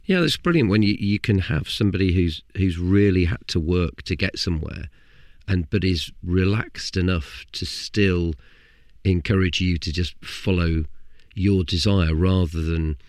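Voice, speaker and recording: A man's voice: deep voice, darkish tone, no echo, clean studio sound. A man hosting a podcast, talking conversationally with frequent restarts. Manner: conversational, halting with repeated words. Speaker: podcast host. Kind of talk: casual interview chat.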